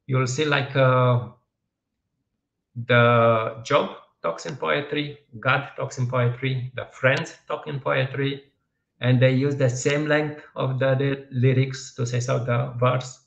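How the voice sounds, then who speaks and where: Gravelly Romanian-accented man on a call. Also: medium pitch, steady tone, fairly bright tone. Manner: halting, searching for words. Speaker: podcast host. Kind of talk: video-call interview. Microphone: headset on video call. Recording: dull, roomy video-call audio.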